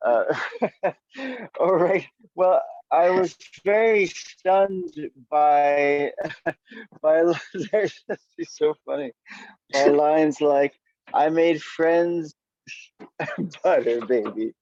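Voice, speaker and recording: A man hosting an online open mic casually and appreciatively, in halting, hesitant phrases, heard over dull call audio. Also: a little high, a little mellow, dry recording. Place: online video event.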